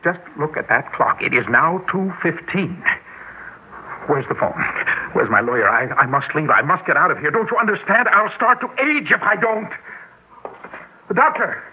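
A man's voice: fairly high pitch, expressive, normal speed, natural voice. An actor playing a desperate, demanding wealthy businessman in an old-time radio drama. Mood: frantic. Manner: demanding, pleading, frantic, urgent. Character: desperate, imperious wealthy businessman of sixty-two who looks young. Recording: noisy, narrow-band classic radio recording.

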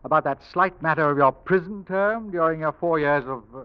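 A man playing a suave, flattering British con man with sly menace in an old radio drama. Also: fairly high pitch, a little fast, clean tone, lightly acted. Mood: silky, menacing. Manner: urbane, flattering, slyly menacing. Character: suave, smooth-talking British male swindler and ex-convict. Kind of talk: 1940s radio drama acting. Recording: dull vintage radio recording.

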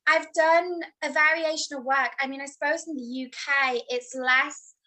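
A woman with a high-pitched voice, a guest in a casual interview, who speaks bluntly and laughs often between thoughts. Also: fairly even intonation, moderate pace, sharp tone. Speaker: interview guest, adult performer.

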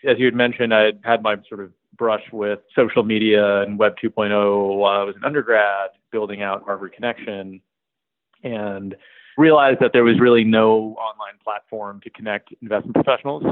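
A man being interviewed as a company's CEO, answering at a measured pace with lots of "sort of" fillers. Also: on the low side, steady tone, no room sound. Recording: tinny phone-like line.

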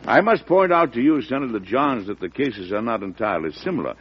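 A man acting a weary, firm and principled President in an old-time radio drama, speaking in a measured, formal way. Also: medium pitch, animated, slightly rough. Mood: formal, composed. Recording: dull, noisy vintage radio recording.

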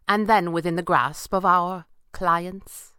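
A man's voice: fairly high pitch, very animated, measured pace, bright, forceful tone, clean tone, no room sound, studio quality, full character voice. A man voicing a greedy, cruel villain in a pulp adventure audiobook, speaking with menacing mock courtesy. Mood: amused. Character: oily, greedy male villain, smooth-talking, cruel mercenary.

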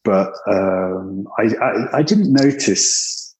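Low-voiced older British man reminiscing. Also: animated, takes it slowly, darkish tone. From Britain. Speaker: interview guest recalling his years living abroad. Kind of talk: conversational personal reminiscence.

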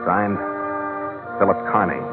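A man's voice: low voice, measured pace, narrow, tinny audio. A man playing a weary, wry ship's captain who tells his own story in 1940s radio adventure style. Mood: formal, subdued. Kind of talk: 1940s radio adventure acting and first-person narration. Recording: band-limited old radio recording, loud music behind.

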